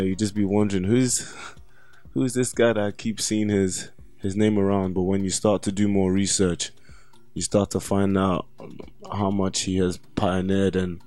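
A man's voice: low voice, mellow, no room sound. A man, speaking conversationally as an interview host.

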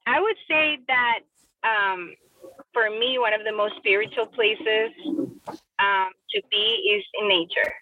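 A woman's voice: medium pitch, slow pace, dry recording. Woman on a tinny phone line. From Colombia. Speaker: podcast guest, ministry director. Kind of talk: conversational interview answers. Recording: tinny telephone-like line, distant.

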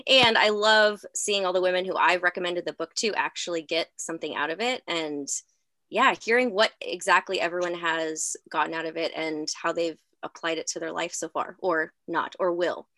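A woman's voice: on the low side, normal speed, sharp tone, dry recording. A woman in her thirties co-hosting a podcast in casual chat over a video call, enthusiastic and giggly. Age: 30s. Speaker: podcast co-host.

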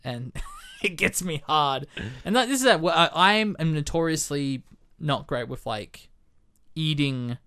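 A man's voice: on the high side, animated, unhurried, pushed and bright, smooth. A young man co-hosting a film podcast who talks in a chatty, rambling way and often restarts his sentences. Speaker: podcast co-host. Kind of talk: casual film podcast chat.

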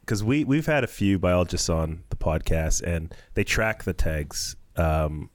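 A man's voice: sits low, animated, a little slow. A man co-hosting a podcast, asking questions in a casual conversational style. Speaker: podcast co-host.

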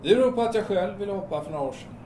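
Man acting friendly young carpenter, animated pitch, soft dark timbre, audio drama, echo, faint birds and noise. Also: far from the mic. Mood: casual. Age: young adult.

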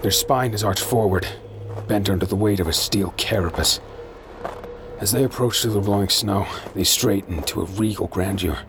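Deep gravelly male drama narrator. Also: moderate pace, noisy background. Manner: tense, terse and intense. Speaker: first-person narrator.

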